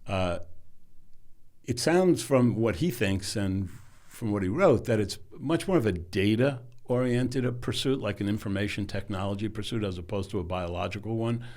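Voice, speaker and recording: A man who runs a company, a podcast guest who talks in a measured, thoughtful way, often trailing off softly. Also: fairly low pitch. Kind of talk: casual interview conversation.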